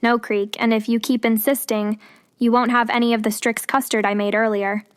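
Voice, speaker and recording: A woman's voice: fairly high pitch, flat delivery, moderate pace, clean tone, no echo, clean studio sound, close to an everyday voice. A woman voicing a kind young potion-making witch in a storybook reading, friendly and lightly teasing.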